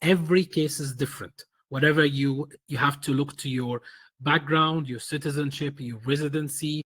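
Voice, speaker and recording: Man, 40s, from Egypt, webinar presenter; husky, close and dry sound, hesitant delivery. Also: on the high side, steady tone, fairly bright tone, dry recording. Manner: halting, many fillers and restarts. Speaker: webinar presenter, tax expert.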